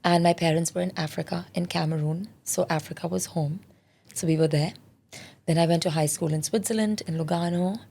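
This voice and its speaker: A woman in her forties from India talks candidly about her life as an interview guest. Her voice sits low and carries a gravelly, crackly texture. She tells her story in a reflective, conversational way. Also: steady tone, a little fast, warm tone. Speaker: guest, parenting specialist.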